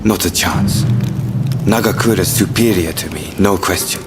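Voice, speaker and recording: Stern samurai swordsman male actor, game cutscene; low-pitched, cool, understated, loud music behind. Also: normal speed, very noisy, lightly acted. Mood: cool, dry. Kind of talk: video game cutscene acting.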